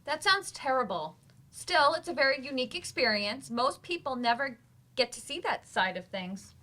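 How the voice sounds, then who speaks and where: Woman acting a supportive adult student, bright high voice, stage reading. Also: big pitch swings, normal speed, full character voice. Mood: sympathetic. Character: sympathetic, encouraging adult student. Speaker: actor in a staged play reading.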